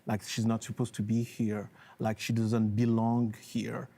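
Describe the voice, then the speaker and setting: A man from France, a debate panelist, speaking thoughtfully with halting restarts. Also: lowish voice, completely flat delivery, creaky, mellow. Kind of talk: debate argument.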